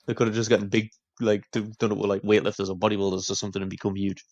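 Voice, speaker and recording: A man's voice: lowish voice, little pitch movement, racing, darkish tone, no echo, lo-fi sound. A young man co-hosting a podcast, making points in a rush and restarting his sentences. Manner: argumentative, piling up points, restarts. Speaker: podcast co-host. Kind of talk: casual podcast banter.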